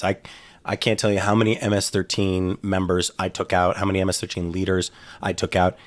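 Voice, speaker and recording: A middle-aged man talking casually in an interview, rambling with frequent restarts and fillers. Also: low-pitched. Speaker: guest, former FBI agent.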